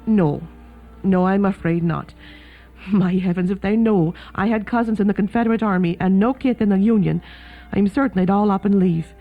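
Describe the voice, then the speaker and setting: A woman's voice: mid-range voice, close to an everyday voice. An actress playing a kindly, chatty, fussing boarding house landlady in a period radio drama. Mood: hushed indignation. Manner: fussing, hospitable, gossipy. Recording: thin phone-like band, faint music behind.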